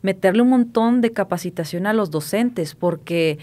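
A youngish woman, chatting in an animated, informal way on a podcast. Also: medium pitch, moderate pace, slight background noise. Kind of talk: casual podcast chat. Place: small padded podcast studio. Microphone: studio mic on stand.

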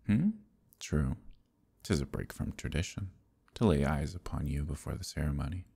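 A man playing a proud, possessive fae prince in an intimate ASMR roleplay, husky and breathy, with measured, courtly speech that dips into whispers. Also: very deep voice, warm tone, full character voice. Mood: adoring. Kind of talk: ASMR roleplay, intimate archaic theatrical acting.

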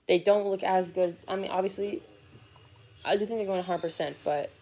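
A man's voice: sits high, fairly even intonation, brisk, warm tone. Teenage boy rambling over a live game. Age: teens. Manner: rambling, many fillers and restarts. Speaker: sports vlogger. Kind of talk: casual live game commentary. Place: living room couch, indoors. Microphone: phone or laptop camera from below.

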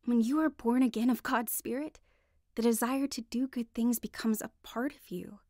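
A woman's voice: on the high side, fairly even intonation, normal speed, warm tone, no room sound, studio quality, lightly acted. An actress playing an earnest, devout wife in a radio drama, acting and narrating her heartfelt testimony. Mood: earnest, eager.

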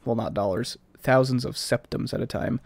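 A man's voice: mid-range voice, steady tone, moderate pace, dry recording. A man with a slightly stuffed-up, scratchy voice giving casual, rambling gameplay commentary as a let's play host.

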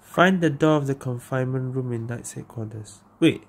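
Low-voiced woman streamer playing a game. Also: moderate pace, noisy background. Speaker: gaming streamer. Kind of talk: casual gameplay commentary. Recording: game music behind.

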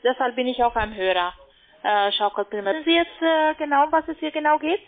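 High-pitched female telemarketer on the phone. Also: fairly even intonation, brisk. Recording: tinny narrow phone line.

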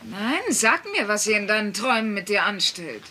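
A woman's voice: mid-range voice, animated, moderate pace, bright, forceful tone, a little hiss or hum. A woman voicing a blunt, teasing, persistent working-class wife in a dubbed sitcom, wry and probing. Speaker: voice actor in a German sitcom dub.

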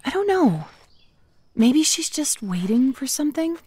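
A woman's voice: sits high, very animated, unhurried, darkish tone. A woman playing a sweet, lonely female zombie, chatting hesitantly and hopefully to the listener in an audio drama. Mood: wistful musing. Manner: hesitant, rambling, trailing off.

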